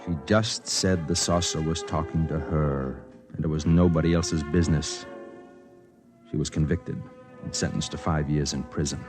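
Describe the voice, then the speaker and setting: A man's voice: very deep voice, fairly even intonation, normal speed. An actor playing a newspaper reporter who narrates his story in a 1950s radio drama. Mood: matter-of-fact. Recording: 1950s radio broadcast with music.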